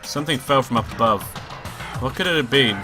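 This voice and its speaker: A man's voice: medium pitch, fairly quick. A man with a cold and a nasal voice, hosting a let's play and reading out a visual novel with casual, swearing asides. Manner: swearing, offhand asides between read lines. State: sick and nasal. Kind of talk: casual let's play commentary and read-aloud. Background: game music.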